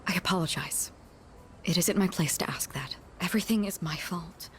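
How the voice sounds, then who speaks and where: A woman's voice: fairly low pitch, moderate pace, a little hiss or hum, natural voice. Woman playing an earnest, formal student council president. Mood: concerned. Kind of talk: video game voice acting.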